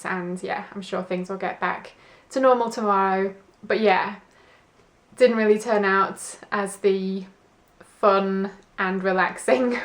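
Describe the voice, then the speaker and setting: A woman chatting informally and a little ramblingly to camera in a book vlog, with an understated manner. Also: medium pitch. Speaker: book vlogger. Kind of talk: casual chat to camera, reading diary and book review. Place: indoors at home.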